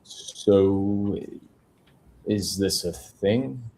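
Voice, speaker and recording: Low-voiced man reading a weary, wry bachelor. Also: drawn-out words, mellow, exaggerated voice. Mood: curious, wry. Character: Cassidy, weary, lonely male newspaper proofreader, wryly curious. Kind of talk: film script table read.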